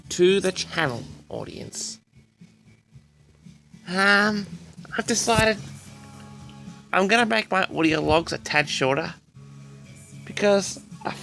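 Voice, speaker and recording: A man's voice: high voice, lively intonation, slow pace, creaky, pauses often, sharp tone, soft background music. A man hosting a personal audio log, rambling casually and hesitantly, often repeating himself as he addresses his listeners. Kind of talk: rambling casual monologue to audience.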